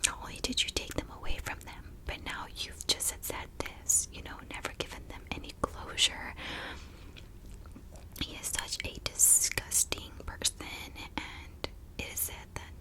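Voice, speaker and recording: Slow, whispering woman ASMR storyteller. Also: poor audio. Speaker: ASMR host telling true crime while doing makeup.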